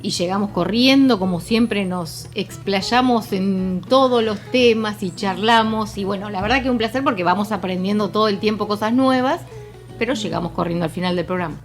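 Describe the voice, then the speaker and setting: A woman with an Argentine accent co-hosts a radio show in a conversational style. Her voice is mid-pitched, with faint music underneath. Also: moderate pace. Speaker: radio co-host. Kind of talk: conversational programme sign-off.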